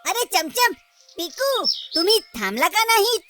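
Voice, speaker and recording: A performer voicing a boastful, competitive little child in a children's cartoon, with an excitable, bragging way of talking. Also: extremely high pitch, a little slow, heavily acted. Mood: indignant. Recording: loud music behind the voice.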